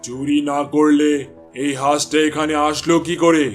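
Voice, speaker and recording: A man's voice: on the high side, flat delivery, moderate pace, soft background music, lightly acted. A man voicing a haughty, bossy village landlord in a cartoon, with a blustering, scolding manner. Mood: angry, accusing. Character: haughty village landlord doting on his pet goose. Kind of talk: cartoon voice acting.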